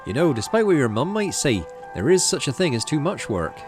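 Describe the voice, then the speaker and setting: A man's voice: on the high side, big pitch swings, fairly quick, over music, pitched above the performer's own voice. A man voicing a caring, playful dad in a video game, speaking in an affectionate, encouraging way. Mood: concerned.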